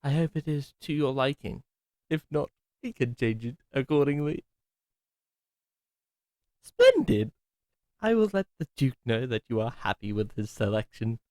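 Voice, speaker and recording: A man's voice: mid-range voice, expressive, a little slow, long pauses, darkish tone, no echo, natural voice. A man playing a chipper, theatrical male butler with a hidden menace in an audio roleplay drama, speaking formally.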